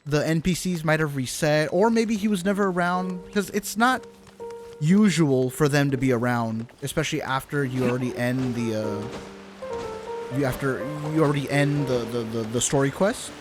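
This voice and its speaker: A man with a slightly high voice talks casually as a live game streamer. He is enthusiastic and rambling, often repeating himself, with clear game music behind him. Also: normal speed. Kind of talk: casual livestream chat and reaction.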